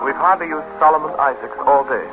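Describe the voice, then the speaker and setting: An actor playing a suave, sardonic, irritable well-bred gentleman in love, with a dry, ironic theatrical manner in 1930s radio drama style. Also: on the high side, fast, highs cut off, over music, lightly acted. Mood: dry, amused. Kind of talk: 1930s radio drama acting, drawing-room comedy. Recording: noisy 1930s radio broadcast recording.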